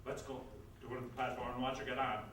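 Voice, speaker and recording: A man's voice: mid-range voice, little pitch movement, fast talker, lightly acted. A man playing a grandiose, vengeful jilted showman, delivered in florid, melodramatic stage comedy style. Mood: scheming. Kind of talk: stage comedy reader's theatre acting. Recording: very echoey stage, distant mic, noisy.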